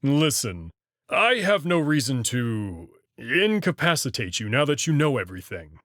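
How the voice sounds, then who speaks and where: A man's voice: mid-range voice, sing-song, measured pace, fairly bright tone, dry recording, studio quality. A man reading a guarded wolf ship captain. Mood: measured.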